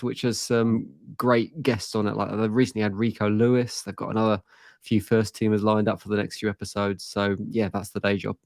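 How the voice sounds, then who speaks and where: A man from the south of England with a southern English accent softened by years in Manchester, a guest talking football in an articulate, self-deprecating way. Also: mid-range voice, dry recording, studio quality. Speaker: guest, rival fan. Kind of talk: casual football chat.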